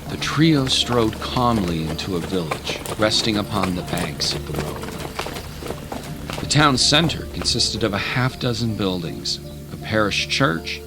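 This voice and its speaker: A man narrating a scripted dramatic story as an audiobook narrator. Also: lowish voice. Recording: music under the voice.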